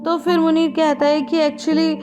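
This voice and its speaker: High-voiced woman recapping a drama. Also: little pitch movement, unhurried. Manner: chatty, speaks directly to viewers. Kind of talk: conversational plot recap. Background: background music.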